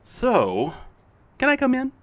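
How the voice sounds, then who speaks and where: An actor in an audio drama playing a playful, needling supernatural being who poses as a best friend, with theatrical, drawn-out teasing. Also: high voice, wildly swooping pitch, a bit bright, exaggerated voice. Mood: needling, coaxing.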